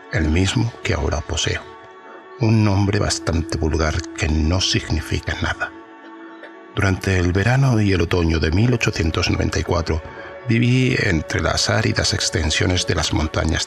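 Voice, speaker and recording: A man voicing a secretive old former army officer who recounts a horror story in grave, formal, measured narration. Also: low voice, gravelly. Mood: guarded, reflective. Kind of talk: first-person audio horror storytelling. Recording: music under the voice. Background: music.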